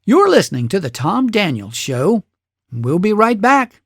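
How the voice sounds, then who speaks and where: A man's voice: sits high, big pitch swings, a little slow, slightly rough, no echo, studio quality. A man hosting a radio show, narrating history from a script with casual asides in a folksy, conversational storytelling way.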